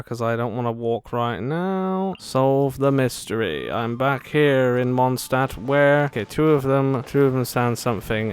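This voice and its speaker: A woman giving casual, sarcastic gameplay commentary full of jokey asides. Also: extremely low pitch. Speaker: gaming video host. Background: game music.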